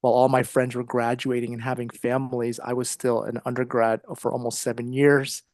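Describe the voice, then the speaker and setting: Middle-aged American man with a creaky voice. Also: mid-range voice, level tone, a little fast, a little mellow, no room sound. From Ohio, United States. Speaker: interview guest, psychologist and author. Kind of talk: conversational interview.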